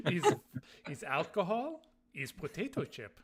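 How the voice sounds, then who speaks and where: A man with a somewhat high voice speaks at a slow pace. He sounds like a podcast co-host in casual conversation. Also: big pitch swings, slight background noise.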